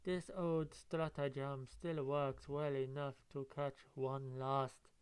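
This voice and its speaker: Cold comic-book admiral villain, acted by a male reader: measured, gloating, menacing lectures in a clear voice. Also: medium pitch, little pitch movement, lightly acted.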